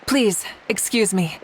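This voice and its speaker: A woman's voice: medium pitch, a bit bright, slight background noise, low-quality recording. A woman voicing a composed, confident female leader in an anime-style game, speaking formally with solemn authority. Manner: formal, measured.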